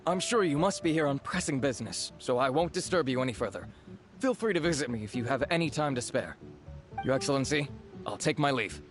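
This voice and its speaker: An actor playing a polite, dutiful and deferential resistance officer in a video game, speaking expressively. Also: male voice, medium pitch, lively intonation, fairly quick, soft background music, close to an everyday voice. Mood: courteous. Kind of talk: scripted video game dialogue.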